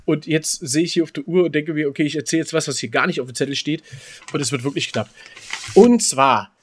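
Teasing man with a higher-pitched voice. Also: fast talker, husky. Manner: teasing, rambling with repeated words. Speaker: podcast co-host. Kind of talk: casual banter and storytelling.

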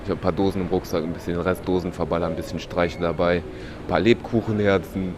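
A man hosting a podcast, talking in a relaxed, chatty way with slang and fillers while he has a cold. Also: low-pitched, fast talker, noisy background. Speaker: podcast host. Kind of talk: casual podcast chat.

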